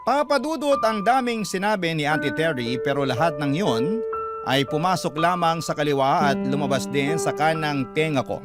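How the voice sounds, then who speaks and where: Casting: male narrator, slightly high voice, dramatic first-person radio storytelling, prominent music bed underneath. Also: moderate pace. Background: loud background music.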